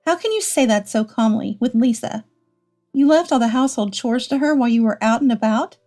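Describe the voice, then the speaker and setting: Woman acting an earnest wife, fairly high-voiced. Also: fairly quick, dark tone, soft background music, natural voice. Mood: hurt, confronting. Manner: earnest, pleading. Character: adult wife and mother, hurt but protective.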